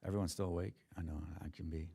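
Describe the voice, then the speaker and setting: Low monotone man presenting a budget. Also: quick pace, breathy edge, no room sound. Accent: Ohio. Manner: monotone, many fillers and restarts. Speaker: school district treasurer. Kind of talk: board meeting budget presentation. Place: meeting room.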